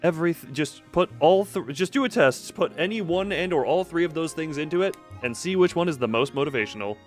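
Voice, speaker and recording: A man's voice: on the high side, animated, fairly quick. A man live-streaming a game, reading the dialogue aloud dramatically and adding casual asides. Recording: game music behind the voice. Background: game music.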